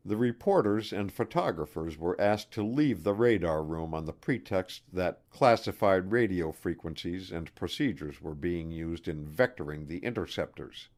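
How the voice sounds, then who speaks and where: A man's voice: sits low, a little mellow. A man reading a nonfiction audiobook as scripted narration. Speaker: audiobook reader.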